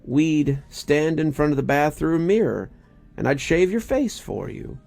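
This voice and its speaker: A man's voice: fairly high pitch, expressive, measured pace, gravelly, a little mellow, voice pushed up. A man voicing a grieving, desperately hopeful wife who coos and pleads in a quivering voice, as in a storybook reading. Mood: tender, pleading. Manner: cooing, pleading. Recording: music behind the voice.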